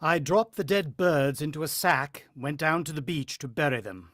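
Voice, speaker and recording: A man's voice: a little high, moderate pace, noisy background, close to an everyday voice. An actor playing a proud, short-tempered, condescending husband who also narrates his ordeal in a radio drama. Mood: tense, ominous. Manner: condescending, irritable. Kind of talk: radio drama acting with first-person narration.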